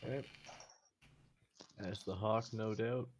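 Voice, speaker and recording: A man chatting casually as a player in a tabletop game, blunt and quick to swear. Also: fairly low pitch, steady tone, a little hiss or hum.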